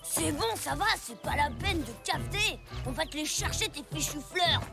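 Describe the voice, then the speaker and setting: Une comédienne qui joue un enfant préhistorique irritable et boudeur, au ton sec, dans un dessin animé. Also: female voice, sits high, animated, normal speed, gravelly. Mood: sulky. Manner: snappy, grumbling. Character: irritable prehistoric child, sulky and defiant. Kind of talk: animated cartoon voice acting in French. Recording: music behind the voice.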